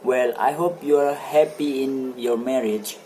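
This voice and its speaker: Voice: mid-range voice, steady tone, measured pace, dark tone, slight background noise, close to an everyday voice, rough recording. An amateur reader playing a serious, brooding man who questions an old friend in a stage play, in grave, formal phrasing. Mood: moved, wistful. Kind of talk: amateur dramatic play reading.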